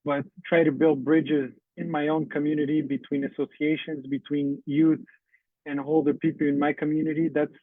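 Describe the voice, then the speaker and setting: A man whose first language is Portuguese speaks English on a panel, halting and searching for words. His voice sits fairly high and stays flat. The sound is thin and phone-like, with no room around it. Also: no echo. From Canada. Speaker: panelist and council member. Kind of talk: panel talk at a conference. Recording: tinny phone-like line, dry.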